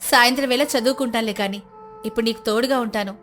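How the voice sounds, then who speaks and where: A woman's voice: on the high side, fast, a bit bright, faint music behind, lightly acted. A woman voicing an eager, affectionate young village granddaughter in a moral story. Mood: insistent.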